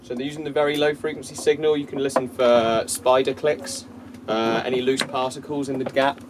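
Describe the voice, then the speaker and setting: A man in his thirties gives an informal technical explanation as a designer, matter-of-fact with hesitant pauses. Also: medium pitch, steady tone. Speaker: loudspeaker transducer designer. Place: small indoor workshop test room. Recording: loud background hum and workshop noise.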